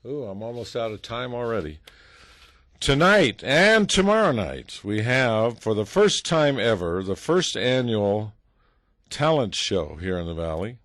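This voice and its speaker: A man's voice: mid-range voice, fairly bright tone, no echo, studio quality. A man hosting a casual radio interview, joking and chatty with frequent fillers. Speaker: radio host.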